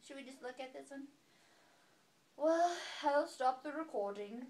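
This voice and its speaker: Very high-pitched, lively woman reading to a child. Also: a little slow. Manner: playful, sing-song child-directed talk with dramatic gasps. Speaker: caregiver reading to a small child. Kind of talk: casual read-aloud storytime with chatty asides.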